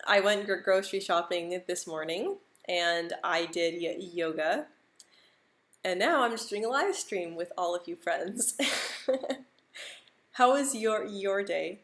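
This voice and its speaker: A woman's voice: lowish voice, slow pace. A young American woman who stutters, repeating words and sounds, chatting casually on a live stream and laughing easily. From United States.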